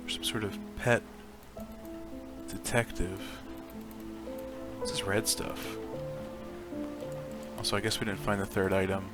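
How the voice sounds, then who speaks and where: A man's voice: fairly low pitch, little pitch movement, slow, pauses often. A man hosting a gaming video, giving casual, offhand let's play commentary as he thinks aloud. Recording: music behind the voice.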